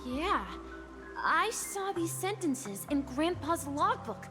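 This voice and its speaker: A woman voicing a gentle, wistful young boy in a video game, earnest and a little hesitant. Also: extremely high pitch, sing-song, slow pace, heavily acted. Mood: wistful, nostalgic. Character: gentle, wistful young boy recalling his seafaring grandpa. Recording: game music behind the voice.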